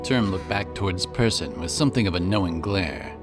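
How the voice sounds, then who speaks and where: A man's voice: deep voice, brisk. A man narrating a scripted fantasy audiobook as a storyteller. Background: prominent background music.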